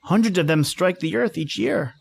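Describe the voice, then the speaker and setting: A woman's voice: on the low side, moderate pace, creaky, husky, full character voice. A woman acting an eager, dismissive amateur astronomer husband in an old-time radio horror drama, lecturing emphatically. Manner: emphatic, lecturing, repeats words when excited.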